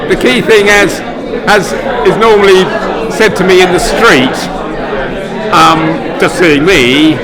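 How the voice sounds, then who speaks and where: A man giving a casual interview, halting and pausing mid-phrase. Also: sits high, over a noisy crowd. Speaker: interviewee at an exhibition. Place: large busy indoor public space. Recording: loud crowded indoor hall.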